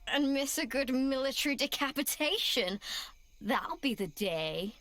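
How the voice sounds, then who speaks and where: Brash young female mercenary, high bright voice, sarcastic, game cutscene. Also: expressive, measured pace, no room sound, faint music behind, full character voice. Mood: cocky, taunting. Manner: sarcastic, playful. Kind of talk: video game cutscene acting.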